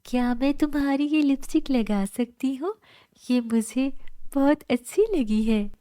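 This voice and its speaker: A gentle, lonely schoolgirl from a cartoon fairy tale, acted by a woman. Her voice is high and plaintive, with a pleading edge. She speaks slowly, in a dry recording. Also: no room sound. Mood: eager.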